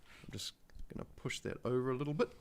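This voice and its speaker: A man with a New Zealand accent hosting a casual livestream, talking in a halting, rambling way with many fillers and restarts into a desk microphone. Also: mid-range voice, slight background noise. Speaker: livestream host.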